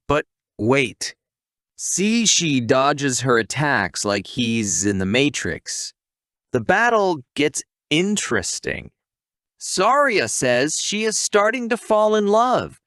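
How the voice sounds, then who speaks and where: Man narrating with animated, swooping pitch. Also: dry recording, clean studio sound. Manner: wisecracking, conversational asides to the listener. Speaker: narrator of an anime recap. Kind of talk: scripted comic story recap narration.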